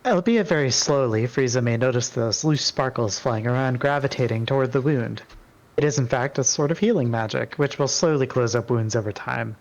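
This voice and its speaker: A man's voice: medium pitch, fairly quick. A man reading scripted fantasy narration as a player in a group story. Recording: dull, lo-fi microphone sound.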